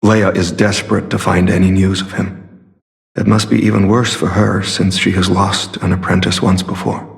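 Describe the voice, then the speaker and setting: A solemn, gravelly masked warrior. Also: male voice, sits low, little pitch movement. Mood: somber, concerned. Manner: measured, formal, solemn. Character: secretive, earnest masked warrior hunting corrupt alchemists. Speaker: video game voice actor. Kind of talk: scripted game character dialogue.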